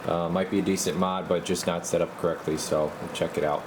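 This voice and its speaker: A man working as a radio repair technician, giving casual, halting bench commentary as he mutters and thinks aloud. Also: low-pitched, level tone, heavy background noise. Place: indoor radio repair workbench.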